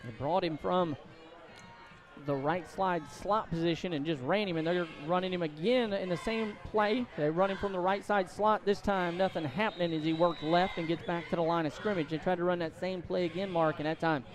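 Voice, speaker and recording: A man doing excitable live play-by-play commentary of a high school football game, outdoors at the stadium. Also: a little fast. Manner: excitable, voice climbs to shouts on big plays. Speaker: play-by-play sports announcer.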